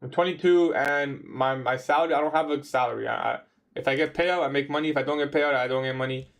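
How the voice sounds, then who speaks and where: A man's voice: mid-range voice, fairly even intonation, quick pace, creaky. A man in his twenties chatting casually on a trading livestream, answering viewer questions in a laid-back, slangy way. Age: 20s. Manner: laid-back, slangy, says bro a lot. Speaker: livestream trader.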